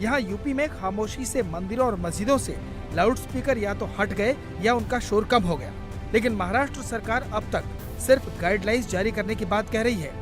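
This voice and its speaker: A man narrates a scripted news report in a very high-pitched voice. Music plays clearly behind his voice-over throughout.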